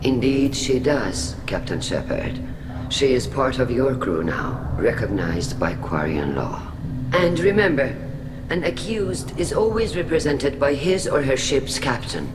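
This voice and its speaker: A grave alien elder presiding over a tribunal in a video game. His mid-pitched delivery is formal, measured and ceremonial, with clear background ambience behind it. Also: big pitch swings, heavy background noise. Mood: calm, reassuring.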